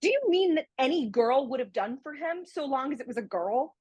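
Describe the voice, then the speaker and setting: A woman playing a prim, teasing young heiress in a radio play, pert and quick to take offence. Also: sits high, lively intonation, darkish tone, no room sound, highs cut off. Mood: miffed.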